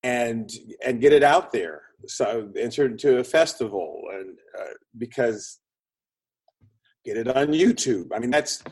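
A man with a medium-pitched, somewhat husky voice speaks as an expert guest in an interview. He explains conversationally and animatedly, acting out his examples in short, punchy phrases. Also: lively intonation, a little slow, a little mellow. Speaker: interview guest, screenwriting consultant and producer.

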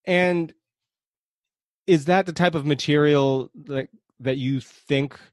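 A man's voice: a little high, slow, no echo, studio quality. A man hosting a podcast and interviewing a guest in a casual, conversational way.

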